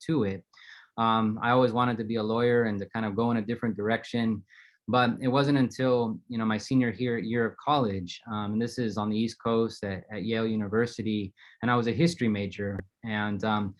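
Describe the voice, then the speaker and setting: A middle-aged man who teaches at a university, speaking on an art panel in a steady, thoughtful way with frequent ums. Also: fairly low pitch. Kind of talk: panel discussion, personal story.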